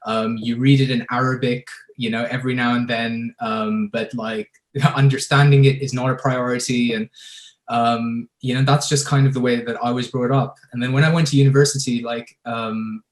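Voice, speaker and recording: A young man from the Emirates, a filmmaker speaking thoughtfully with many pauses and fillers on a video call panel. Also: mid-range voice, little pitch movement. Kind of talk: reflective panel discussion.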